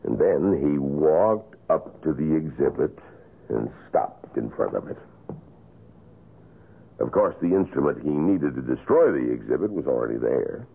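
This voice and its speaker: An actor playing a man calmly recounting what he witnessed, in a 1970s radio drama, gravelly and measured. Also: extremely low pitch, heavily acted. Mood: matter-of-fact. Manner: measured storytelling. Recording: muffled old radio recording.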